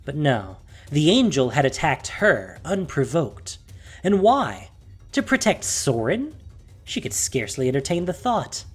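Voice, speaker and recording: A narrator reading a scripted fantasy story in a measured, dramatic way with emphatic phrasing. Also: medium pitch, husky. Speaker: audiobook narrator.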